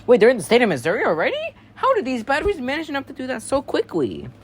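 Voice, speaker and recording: A man's voice: very high-pitched, huge pitch swings, fast talker, breathy edge, voice pushed up, full character voice. A man playing a flustered, easily panicked emergency newsreader in an amateur alert parody, whose formal announcements lapse into rambling. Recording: noisy amateur home recording.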